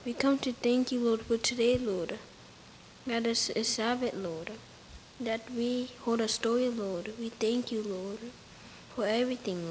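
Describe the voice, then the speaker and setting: A high-pitched female voice offers a spontaneous prayer. She speaks in short phrases with a repeated address. A faint hiss sits behind her. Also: a little mellow, rough recording. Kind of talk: spoken extempore prayer.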